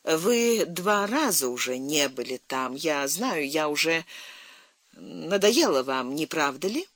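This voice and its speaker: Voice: mid-range voice, very animated, slow, a bit bright, dry recording, heavily acted. A narrator voicing an anxious, jealous middle-aged princess in an audiobook, pleading and insistent. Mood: bitter, jealous.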